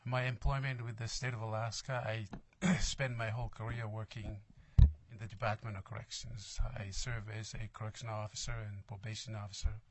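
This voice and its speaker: A man in his sixties with a Tongan accent, introducing himself formally at a hearing in a measured, halting, plain-spoken way. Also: fairly low pitch, level tone, very noisy. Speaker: appointee to a parole board.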